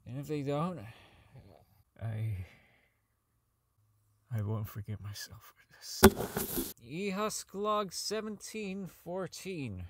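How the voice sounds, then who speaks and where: A man playing a socially inept, embittered robot designer, stammering and swearing as he records logs in an audio drama. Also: medium pitch. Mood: brooding, regretful, then bitter. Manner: stammering, profane, ranting. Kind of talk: fan audio drama, log monologue.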